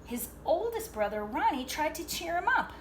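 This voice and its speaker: A woman reading a picture book aloud to children like a teacher, expressively, pausing to explain and check in with her young listeners. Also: high-pitched, sing-song, very noisy. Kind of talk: read-aloud storytelling with asides to young listeners.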